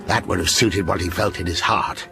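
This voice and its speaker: A man's voice: sits low, fairly even intonation, moderate pace, music in the background. An actor playing a menacing, obsessive man who tells a ghost story to a captive listener in a radio drama, insistent and intimate. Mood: bitter, brooding.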